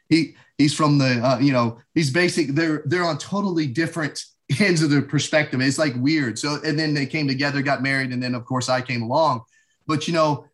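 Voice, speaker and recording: A man in his forties from the United States, talking reflectively as an interview guest, with many "you know" fillers. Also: medium pitch. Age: 40s. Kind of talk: casual interview conversation.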